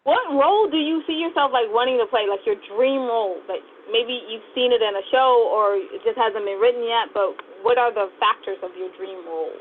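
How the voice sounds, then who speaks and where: A woman's voice: a little high, brisk, hardly any pauses. A woman co-hosting a casual interview and putting questions to the guest in a chatty way. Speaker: podcast co-host interviewer. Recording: thin phone-like radio sound, faint noise.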